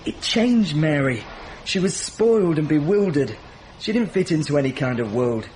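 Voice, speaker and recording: An actor playing a simple, earnest, wounded young village mechanic in a radio drama, speaking plainly and haltingly. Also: male voice, fairly high pitch, expressive, darkish tone, a little hiss or hum, close to an everyday voice, poor audio. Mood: hurt.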